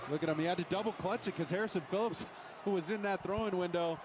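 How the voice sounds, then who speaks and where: A man's voice: sits high, fast. A man giving emphatic, explanatory color analysis on a live football broadcast. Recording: TV game broadcast with loud stadium noise.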